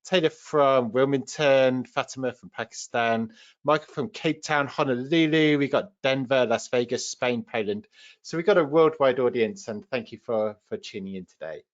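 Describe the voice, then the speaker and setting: A British man leads a live webinar as its trainer, speaking at a medium pitch. His delivery is informal and explanatory, like a practical presentation to learners. The audio is a little dull and lo-fi. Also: moderate pace. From United Kingdom.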